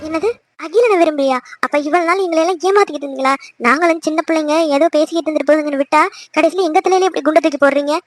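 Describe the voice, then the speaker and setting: A woman's voice: sits high, racing, dry recording, close to an everyday voice. A woman playing an anxious, scolding middle-aged mother in a family drama, fretful and emphatic. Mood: shocked, scolding. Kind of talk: melodramatic family audio drama.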